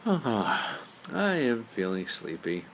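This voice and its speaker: A curious little girl from a bedtime storybook, as a man reads her. The lines are expressive and exclaimed, over faint background noise. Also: on the low side, takes it slowly, creaky, voice pushed up, full character voice, low-quality recording. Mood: sleepy.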